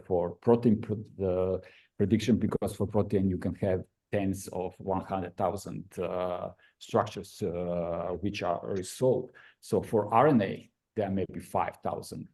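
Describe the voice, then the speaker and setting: A man's voice: sits low, creaky, mellow, slightly rough. Man giving a seminar talk. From Croatia. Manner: non-native phrasing, frequent "uh" fillers. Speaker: invited speaker, researcher. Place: lecture room.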